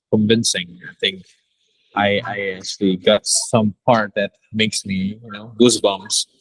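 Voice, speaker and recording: A man, recorded very dry. He talks casually as an interviewed director, in halting phrases, repeating words for emphasis. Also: on the low side, a bit bright, dry recording, low-quality recording. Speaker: director interviewed about an audio drama.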